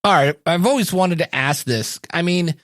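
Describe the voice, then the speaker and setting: A man's voice: a little high, moderate pace, dry recording, clean studio sound. A man hosting a podcast in an informal, conversational way. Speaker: podcast host. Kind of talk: casual solo talk and mock interview.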